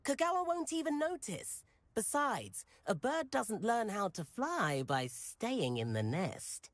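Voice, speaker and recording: A daring, playful schoolboy at a wizard school for a video game. His pitch moves around in a lively way as he chats breezily and persuades. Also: slow pace, no room sound, full character voice. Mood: cheeky, persuasive. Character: cheeky, daring teenage schoolboy wizard, eager and chatty.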